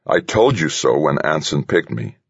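A man's voice: a bass voice, big pitch swings, normal speed, creaky, husky, no echo, highs cut off, heavily acted. A man voicing a smaller, brainy man in an audiobook, earnest and placating yet stubbornly insistent. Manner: earnest, soft-spoken but insistent. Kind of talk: audiobook character voice.

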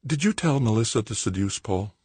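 A man voicing an anxious mother of a grown son in an audiobook, speaking in a hushed, soothing, worried way. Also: lowish voice, animated, brisk, husky, dry recording, voice pushed up. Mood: uneasy. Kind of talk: audiobook character acting.

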